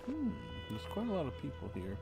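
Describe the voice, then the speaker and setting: Creaky-voiced man livestreaming a game. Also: on the high side, wildly swooping pitch, fairly quick. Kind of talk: casual live game reaction, musing aloud. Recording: stream with clear game music behind.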